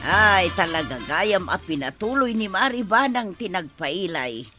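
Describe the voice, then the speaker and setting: A woman's voice: fairly low pitch, very animated, measured pace, music in the background. An actress playing a meddling, suspicious older village woman with an Ilocano accent, gossiping insinuatingly in a comedy radio drama. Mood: gossipy, suspicious. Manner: insinuating, nosy, exclamatory.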